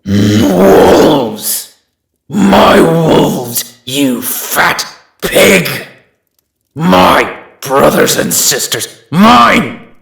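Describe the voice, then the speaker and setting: A man's voice: on the high side, big pitch swings, drawn-out words, gravelly, fairly bright tone, exaggerated voice. A man voicing a fierce, resentful inner wolf in a fantasy audio drama, accusing and proud, in an echoing space. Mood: furious. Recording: echoing, reverberant voice effect.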